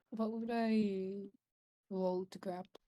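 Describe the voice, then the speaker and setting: A woman's voice: mid-range voice, steady tone, drawn-out words, dark tone, no room sound. A woman chatting casually as a player in a tabletop roleplaying game.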